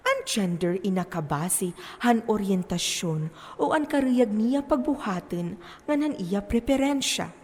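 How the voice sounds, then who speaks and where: Woman acting a lecturer in a play, slow mid voice, declaiming and asking questions, big echoey hall. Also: mid-range voice, lightly acted. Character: lecturing personified character explaining gender and sexuality. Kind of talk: stage play monologue, explanatory. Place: stage in a large hall. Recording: reverberant stage hall.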